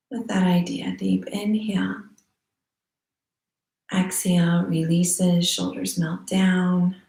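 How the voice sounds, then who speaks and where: A woman leads a guided meditation in a mellow, relaxed voice. She speaks slowly and soothingly, leaving long pauses between phrases. The room adds a noticeable echo around her. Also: lowish voice. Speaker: meditation teacher. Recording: roomy echo.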